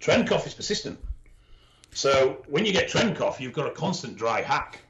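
A man co-hosting a podcast, explaining things in a knowledgeable, measured way with dry humour and frequent ums. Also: medium pitch, big pitch swings, a bit bright. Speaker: expert co-host. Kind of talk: casual podcast chat, explaining. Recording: dull lo-fi audio.

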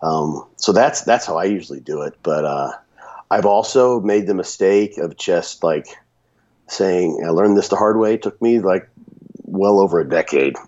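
Very deep-voiced man, raspy with a cold. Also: normal speed, darkish tone, lo-fi sound. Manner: rambling, many restarts and fillers. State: sick with a runny nose. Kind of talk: casual podcast chat.

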